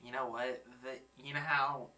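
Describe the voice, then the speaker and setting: A man's voice: mid-range voice, flat delivery, normal speed, sharp tone, distant mic. A man in his twenties hosting a reaction video, chatting casually and searching for his words. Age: 20s. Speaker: reaction channel host. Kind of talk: casual chat.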